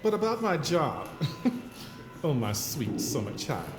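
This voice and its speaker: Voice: mid-range voice, very animated, measured pace. An actor playing a flamboyant, condescending stage performer in an audio drama, grand and mocking, in a reverberant space with music. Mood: teasing, grand. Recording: reverberant hall, music behind.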